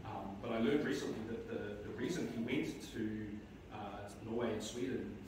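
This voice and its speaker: A middle-aged man giving a eulogy for his mother in a large church, speaking in a halting way with many restarts. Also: lowish voice, noisy background. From New Zealand. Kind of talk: eulogy at a memorial service. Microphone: far camera in the pews. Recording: echoey church from far off.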